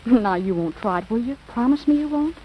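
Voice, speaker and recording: High-pitched, wheedling teenage girl. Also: expressive, a little fast, breathy edge, lo-fi sound. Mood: coaxing, worried. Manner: breathless pleading, melodramatic. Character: dramatic, wheedling fifteen-year-old girl. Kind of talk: 1940s radio drama acting. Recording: noisy old radio broadcast recording.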